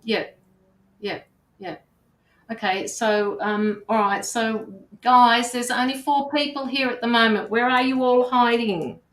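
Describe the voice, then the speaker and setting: A woman's voice: on the high side, unhurried, fairly bright tone, reverberant. Informal woman hosting a livestream. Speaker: livestream host. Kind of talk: casual livestream chat.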